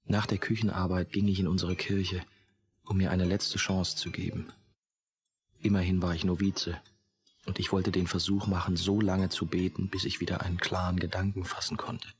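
An actor playing a troubled, wry young novice monk who narrates a radio play in a measured, introspective way. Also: male voice, low voice, level tone, close to an everyday voice. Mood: brooding. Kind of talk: scripted first-person radio play narration.

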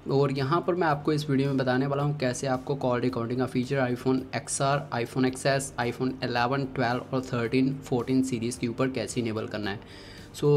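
Male tech tutorial host, Indian accent, medium pitch, instructional delivery addressed to viewers. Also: slight background noise. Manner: instructional, step-by-step.

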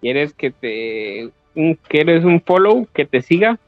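A man from Colombia with a Colombian Spanish accent, a native Spanish speaker, talking haltingly in broken English mixed with Spanish. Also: fairly high pitch, very animated, dry recording, narrow, tinny audio, faint music behind. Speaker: online game opponent.

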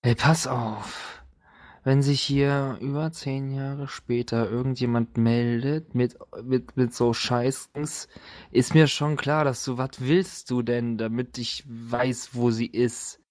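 A gruff, distrustful troll mother, acted out by a man in a tabletop game. The voice sits at a middle male pitch, with blunt, halting, rude delivery. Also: fairly even intonation, darkish tone, dry recording, natural voice. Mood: agitated, demanding.

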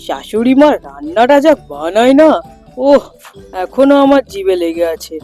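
A man's voice: high voice, big pitch swings, normal speed, mellow, faint music behind. A man playing a gluttonous young son-in-law in a cartoon folk tale, coaxing and always chattering about food. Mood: coaxing, eager.

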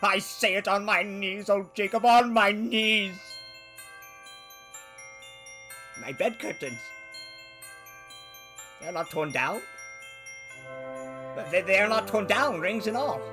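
A man acts out an old miser in a radio play, his voice pitched high. He is theatrical and fervent, a repentant soul given to exclaiming, with music around him. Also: a little slow, pauses often. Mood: fervent relief. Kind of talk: radio drama acting.

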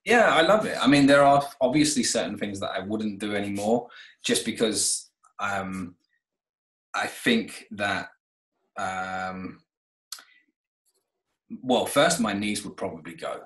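A man in his thirties with a lowish voice chats over a video call from an echoey room at home. He rambles easily, full of fillers and restarts, as he tells his own story. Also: slow, pauses often, fairly bright tone. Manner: chatty, with many fillers and restarts. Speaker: renovation company owner, guest. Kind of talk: casual interview.

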